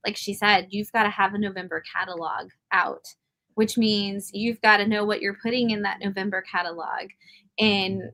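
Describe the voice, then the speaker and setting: Female industry panelist, medium pitch, informal and explanatory, casual discussion. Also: a bit bright.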